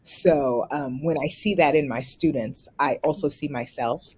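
A woman teacher whose first language was not English, speaking in a measured way with frequent pauses on a video call from home. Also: a bass voice, darkish tone, slight background noise. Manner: measured, many um fillers. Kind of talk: casual panel answer. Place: home room indoors. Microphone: webcam on video call.

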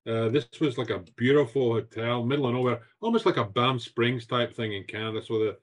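A man's voice: mid-range voice, a little fast. A man in his sixties with a Scottish accent, animatedly telling stories of his career as a guest on a video call from his home. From Scotland. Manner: animated, repeats words, gestures with hands. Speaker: guest chef. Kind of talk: storytelling interview answers. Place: home room with shelves.

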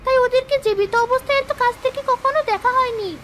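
A woman's voice: extremely high pitch, quick pace, a little hiss or hum. A woman voicing a mischievous little ghost schoolgirl in a children's cartoon, with a whiny, childlike manner. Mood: curious, polite. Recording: loud children's cartoon music behind.

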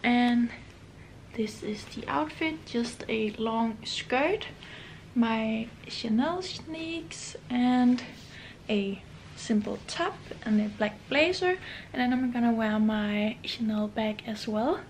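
A young woman vlogging to camera from a hotel room, chatting in a slow, relaxed way. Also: on the high side, a little hiss or hum. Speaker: travel vlogger. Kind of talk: casual vlog to camera. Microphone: camera held close or on a stand.

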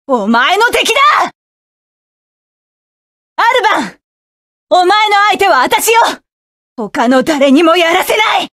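A fierce high-voiced mecha pilot. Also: female voice, very animated, slow, long pauses, a bit bright, no room sound, studio quality, heavily acted. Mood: vengeful. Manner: commanding, clipped battle calls. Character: fierce young woman mecha pilot, vengeful and resolute. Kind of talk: video game combat voice lines.

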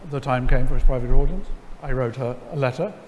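A man's voice: medium pitch, fast. Middle-aged man, wry storyteller, headset mic, long church reverb, faint room hum. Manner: animated, gesturing, wry, many ums and restarts. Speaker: lecture guest. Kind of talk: storytelling in an on-stage conversation. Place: large church sanctuary before an audience. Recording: hall reverb, faint ambience.

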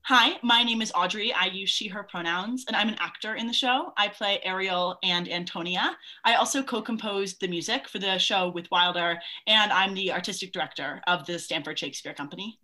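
A woman's voice: mid-range voice, brisk, few pauses, sharp tone. A woman casually introducing herself on a panel as an actor and composer.

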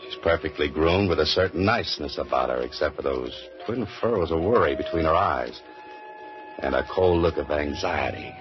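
A man's voice: a bass voice, moderate pace. An actor playing a dry, wisecracking male mystery writer in a 1940s radio drama. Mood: wry narration. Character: wry male mystery writer turned adventurer. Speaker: lead actor. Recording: dull old radio broadcast with music.

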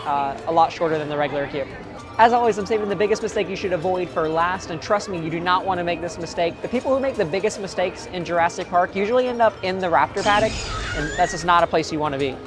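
A young man in his twenties, hoarse from screaming on a ride, giving casual theme park tips to camera outdoors with lots of ums. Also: high-pitched, quick pace, music in the background. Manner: chatty, frequent ums and uhs. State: hoarse, voice cracking. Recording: outdoor crowd and ride noise behind.

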